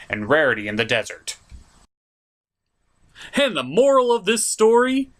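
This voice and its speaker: A man's voice: fairly high pitch, fairly bright tone. A young man hosting a comedic cartoon review in a theatrical, jokey, opinionated way.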